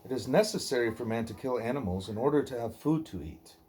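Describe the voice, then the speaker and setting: A man reading a devotional dialogue aloud in measured, deliberate phrases. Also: mid-range voice, lively intonation, a little mellow, slight background noise. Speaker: reader of a devotional book; parent reading aloud at a child's bedtime.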